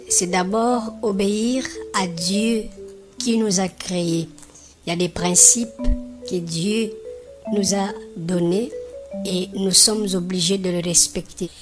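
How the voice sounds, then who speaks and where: A woman voicing a devout, loving grandmother who teaches her grandchildren in deliberate phrases in a children's cartoon. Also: mid-range voice, close to an everyday voice. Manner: didactic, emphatic, speaks in short deliberate phrases. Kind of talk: moral lesson in a children's cartoon. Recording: music behind the voice.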